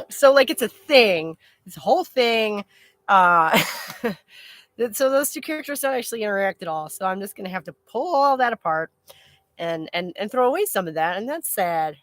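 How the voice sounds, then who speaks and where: A woman chatting casually as host of a live stream, rambling in an animated, exclaiming way with casual swearing. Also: mid-range voice, normal speed, dry recording.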